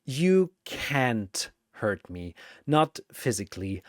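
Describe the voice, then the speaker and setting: A man voicing a manic, mocking dream demon with a taunting, theatrical delivery, gleeful and menacing, for an animated battle story. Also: mid-range voice, slow pace, no room sound, clean studio sound. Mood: smug menace.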